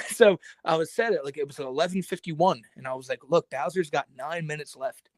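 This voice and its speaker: Chatty man with a fairly high voice. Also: normal speed, no echo. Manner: animated storytelling, laughs often, lots of filler words. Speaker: podcast co-host. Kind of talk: casual chat.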